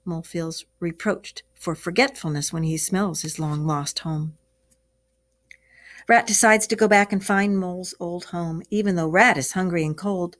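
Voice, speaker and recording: A woman's voice: fairly low pitch, measured pace, fairly bright tone. A woman teacher reading a story aloud to children and explaining it expressively, asking the class questions. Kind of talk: read-aloud lesson for children.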